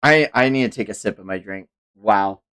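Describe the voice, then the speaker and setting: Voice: medium pitch, moderate pace, a bit bright, clean tone. A streamer doing a live manga read-along, reacting in an unguarded, sweary way. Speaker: streamer reading manga aloud.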